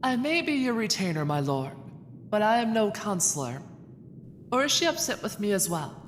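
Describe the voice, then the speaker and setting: Woman playing lively-toned retainer in reverberant hall. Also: medium pitch, moderate pace, close to an everyday voice. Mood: composed, teasing. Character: loyal, candid female retainer, composed and gently teasing. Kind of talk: fan audio drama acting. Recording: reverberant hall, faint noise.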